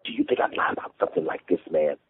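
A man's voice: deep voice, wildly swooping pitch, very fast, gravelly, breathy edge, narrow, tinny audio, heavily acted. A man voicing a distraught Southern wife and mother who pleads and whines in rural dialect. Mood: insistent.

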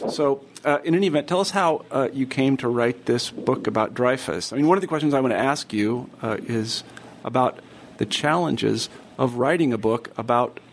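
Man, from the midwest, podcast host, conversational, lots of "uh", mid pitch. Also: a little hiss or hum. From American Midwest. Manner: relaxed, conversational with frequent filler "uh". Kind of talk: podcast interview.

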